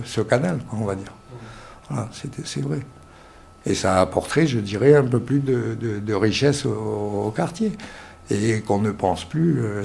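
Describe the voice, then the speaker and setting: Older man from Algeria, interviewee; gravelly, dark voice, halting delivery with many fillers, light background hiss. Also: mid-range voice. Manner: hesitant, many fillers and restarts. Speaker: interviewee, local resident. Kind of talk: casual spoken testimony.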